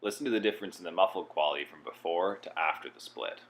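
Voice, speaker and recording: A man with a low voice walks through software steps as a tutorial presenter. His delivery is plain-spoken and instructional, explaining each step as he goes. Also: lively intonation, fast talker, gravelly.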